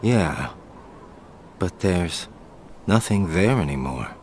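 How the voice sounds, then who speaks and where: A man voicing a cool, guarded but protective young man in a video game, in a measured, terse manner. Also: deep voice, animated, darkish tone, slight background noise. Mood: guarded, serious.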